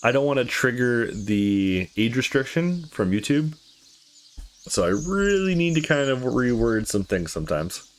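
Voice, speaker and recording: A man's voice: medium pitch, big pitch swings, a little slow. A man giving casual commentary as he hosts a read-aloud gaming playthrough. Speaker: let's play host reading a visual novel aloud.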